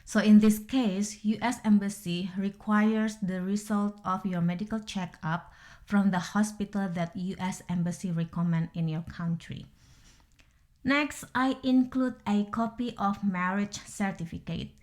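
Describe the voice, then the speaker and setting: A woman's voice: medium pitch, fairly even intonation, a little slow, darkish tone. A woman with an Indonesian accent, whose first language is Indonesian, narrating a careful step-by-step voice-over that walks through documents one by one. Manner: careful, methodical, explaining one document at a time. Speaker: vlogger.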